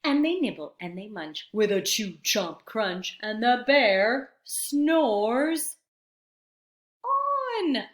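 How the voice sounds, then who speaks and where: A woman reading a picture book aloud to young children in an expressive, teacherly manner, pausing to explain words. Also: fairly high pitch. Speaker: storytime reader for young children. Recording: roomy, echoey sound.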